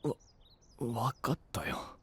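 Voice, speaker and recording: An actor playing a brusque, guarded young knight with a curt manner in an anime-style audio drama. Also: male voice, mid-range voice, very animated, takes it slowly, no room sound, full character voice. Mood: flustered, reluctant. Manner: curt, gruff, reluctant.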